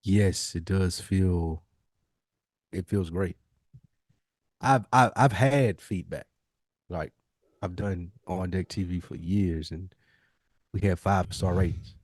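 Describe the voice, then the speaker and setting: A man's voice: deep voice, takes it slowly, pauses often, a little mellow, dry recording. A man chatting casually and candidly as a podcast co-host, using plenty of slang. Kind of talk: casual conversational podcast chat.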